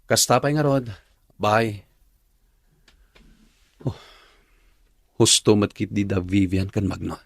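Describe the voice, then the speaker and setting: A man's voice: lowish voice, slow, gravelly, pauses often, no room sound, clean studio sound, heavily acted. A man with an Ilocano accent acting as an earnest young boyfriend in a radio drama. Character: Andrew, young man doubting his girlfriend, earnest.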